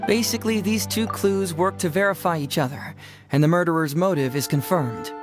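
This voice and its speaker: A man's voice: a little high, fairly quick, over music, close to an everyday voice. A man voicing a brainy, confident young detective in a video game, explaining and teasing in a self-assured, playful way.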